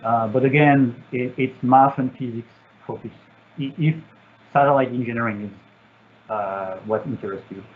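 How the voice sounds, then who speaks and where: A man from France with a French accent, an engineer answering student questions in a virtual talk, pausing often with fillers and restarts. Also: medium pitch, fairly even intonation. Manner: halting, many fillers and restarts. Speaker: satellite engineer. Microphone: video call. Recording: tinny, phone-like video call audio.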